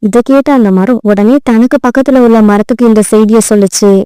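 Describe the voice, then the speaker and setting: A woman storyteller with a smooth, somewhat high voice and a mellow tone. She reads a scripted children's tale in a dry, close recording. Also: fairly even intonation, brisk, no room sound, rough recording. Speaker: story narrator.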